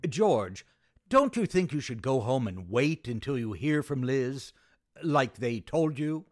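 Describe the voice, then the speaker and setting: An actor playing a sensible, wry best friend in an old-time radio sitcom, measured and dryly exasperated. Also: male voice, medium pitch, big pitch swings, darkish tone.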